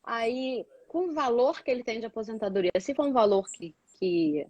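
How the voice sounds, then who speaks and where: A woman's voice: fairly high pitch, expressive, normal speed, darkish tone, dry recording, muffled recording. A Brazilian woman chatting casually and explaining things as a guest, repeating words for emphasis. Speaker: guest, doctoral student living in Portugal.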